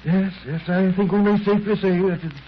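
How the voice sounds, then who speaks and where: A polite, genteel doctor acted by a man with a somewhat high voice and a halting delivery. It is 1930s radio acting, dulled and covered in clear noise. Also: steady tone, natural voice. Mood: uneasy, grave. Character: genteel, hesitant, polite medical gentleman. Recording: muffled, noisy 1930s radio recording.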